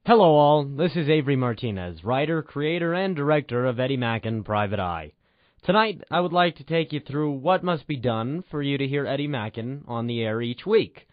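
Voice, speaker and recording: A man's voice: mid-range voice, animated, moderate pace, no room sound. A man, a writer and director, hosting with scripted old-time radio narration. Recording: dull lo-fi radio sound, music underneath. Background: music.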